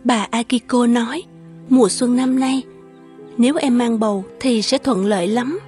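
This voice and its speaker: A woman voicing a gentle young woman in love in an animated folktale, deferential and yearning. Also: fairly high pitch, normal speed, soft background music, natural voice. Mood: hopeful.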